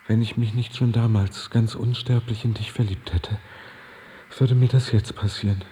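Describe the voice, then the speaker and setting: A male actor plays a laconic death-row killer with a romantic streak in a radio drama. His voice is slightly mellow and carries a long reverb. He speaks in an understated, matter-of-fact and wry manner. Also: lowish voice, steady tone, normal speed, a little hiss or hum, lightly acted. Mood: wistful. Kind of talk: German radio drama acting.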